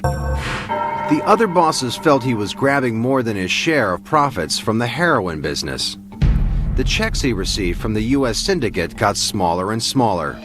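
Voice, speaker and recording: A man narrating a documentary script in a measured, dramatic way. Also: on the low side, sing-song, over music.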